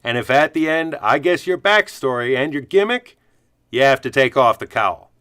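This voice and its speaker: A man plays a gregarious bartender who has seen it all, for a comic radio play. The voice is forceful and resonant, medium in pitch, with a wry, fatherly manner. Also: lively intonation, moderate pace, clean tone, dry recording, clean studio sound, natural voice. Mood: shrewd, teasing.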